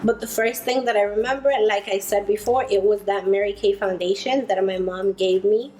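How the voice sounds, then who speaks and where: A woman in her twenties, originally from the Dominican Republic, talks casually to camera like a beauty vlogger answering questions. Her voice sits at a medium pitch. She is recorded at home in a room with faint background noise. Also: steady tone, fairly quick, a little mellow, poor audio. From Dominican Republic, later United States. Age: 20s. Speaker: beauty vlogger, freelance makeup artist.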